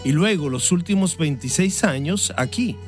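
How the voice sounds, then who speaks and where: A male pastor with a fairly high voice preaching in an earnest, measured way. His pitch rises and falls expressively through each phrase. Soft music plays faintly underneath. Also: lively intonation. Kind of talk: sermon and interview answers.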